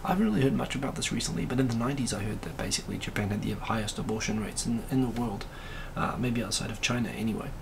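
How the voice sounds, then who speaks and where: Man, raspy and heavily gravelly, loose rambling live-stream host, steady hiss behind. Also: fairly low pitch, racing, few pauses. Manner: rambling, many fillers and asides. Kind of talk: casual live-stream chat reading comments.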